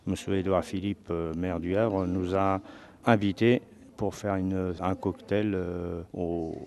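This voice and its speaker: A man in his fifties who was born in Portugal answers interview questions in a hesitant, unpolished way, often pausing and restarting. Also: sits low, level tone, darkish tone. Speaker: president of a community association. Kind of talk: interviewed guest.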